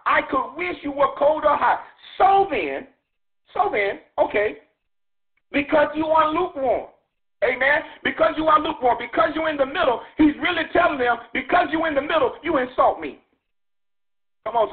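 Male preacher with a very high voice, teaching over a phone line. Peppers every phrase with "amen" and stumbles into repeats. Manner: punctuates nearly every phrase with "amen", repeats words while searching for the thought; grows more emphatic and insistent as he goes. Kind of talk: sermon teaching. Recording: narrow phone-line sound.

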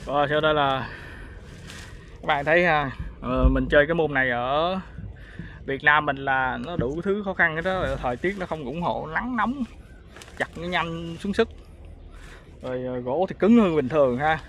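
Man, 30s, outdoor vlog, slightly high voice, worn out from the heat, joking, camera mic with clear background noise. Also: normal speed, a bit bright. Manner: chatty, joking, laughs often. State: tired and hot from chopping wood. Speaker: camping vlogger. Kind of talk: casual outdoor vlog chat. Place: overgrown field outdoors, sunny. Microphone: action camera at arm's length.

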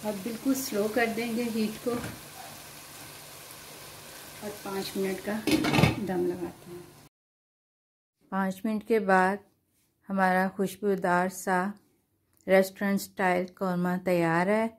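A woman with an Urdu-influenced Hindustani accent calmly explains a home cooking recipe step by step to viewers. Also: medium pitch, unhurried, long pauses, noisy background. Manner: calm, explanatory, addresses viewers directly. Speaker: home cooking channel host. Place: home kitchen at the stove.

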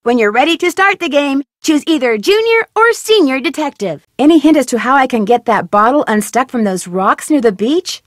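A curious, upbeat young girl detective in a point-and-click mystery game. Her voice sits fairly high and swings up and down as she muses over clues and asks for hints. The studio recording is close and dry. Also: no room sound. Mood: chatty. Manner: inquisitive, chatty, asks for hints. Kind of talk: studio video game voice acting.

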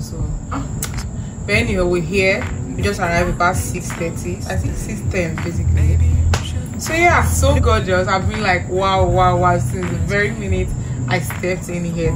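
A woman's voice: on the low side, moderate pace, loud music behind the voice, low-quality recording. A young woman from Nigeria with a Nigerian accent, chatting to camera as a travel vlogger, gushing and upbeat. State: tired after a long trip. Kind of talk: casual vlog talk to camera.

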